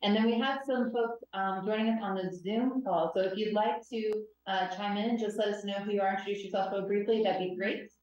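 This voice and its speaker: A woman's voice: mid-range voice, steady tone, a little fast, hardly any pauses, darkish tone, a little hiss or hum. A woman chairing a public commission meeting, upbeat and practical, with frequent um and uh fillers. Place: council meeting room. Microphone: distant room microphone. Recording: echoey muffled room from far off.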